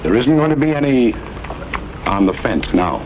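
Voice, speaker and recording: A grave American frontier father , acted by a man, has taken up the law. He speaks deliberately and sternly, with a lively range at medium pitch, in a western drama with light background noise. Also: dull audio, natural voice. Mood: stern resolve. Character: grieving, resolute father turned frontier lawman. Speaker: actor. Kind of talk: mid-century western drama acting. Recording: noisy old western soundtrack.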